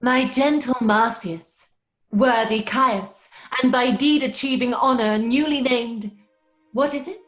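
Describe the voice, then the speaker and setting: A proud, noble Roman mother, declaims Shakespeare in exalted tones. The voice is fairly high and very slow, every word stately, over faint music. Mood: exalted pride. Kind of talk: Shakespearean stage acting.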